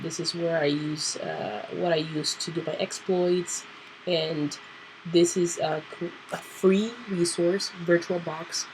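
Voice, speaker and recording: A woman hosting a casual computer tutorial, nasal and clearing her throat because she is sick, thinking aloud with fillers. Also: lowish voice, a little hiss or hum, low-quality recording. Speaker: tutorial host. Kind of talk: casual screen-recorded tutorial.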